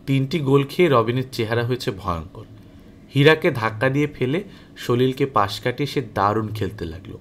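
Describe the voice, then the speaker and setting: A man reading a story aloud as an audiobook narrator, in an expressive, animated way that dramatizes the dialogue. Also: medium pitch, a little fast, slight background noise. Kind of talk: expressive scripted story narration, first-person as a boy.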